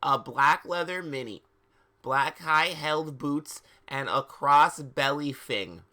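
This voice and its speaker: A man live streaming, switching between dramatic reading and casual, slangy commentary, often halting, repeating words and sounding exasperated. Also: a little high, bright, forceful tone.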